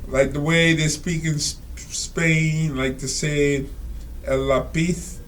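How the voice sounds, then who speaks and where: A man reading out and commenting on a reader's letter, talking slowly and rambling with many fillers and asides. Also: a little high, fairly even intonation, a little hiss or hum. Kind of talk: casual spoken commentary.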